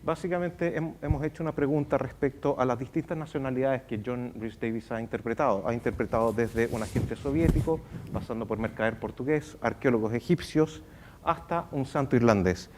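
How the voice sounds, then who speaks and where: A man hosting a question session, asking halting questions in English and translating fluently. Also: medium pitch, noisy background. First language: Spanish. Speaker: moderator and interpreter. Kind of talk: hosting a bilingual Q&A. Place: university auditorium.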